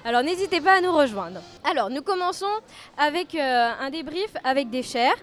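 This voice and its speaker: High-voiced giggly woman co-host. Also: animated, a little fast, soft background music. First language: French. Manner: chatty, giggly, searches for words. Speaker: radio co-host. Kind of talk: casual student radio chat.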